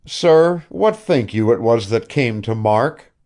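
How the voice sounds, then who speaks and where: A man reading an audiobook voices a calm young seaman who questions quietly and persistently. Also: mid-range voice, slow, pitched above the performer's own voice. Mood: quietly insistent.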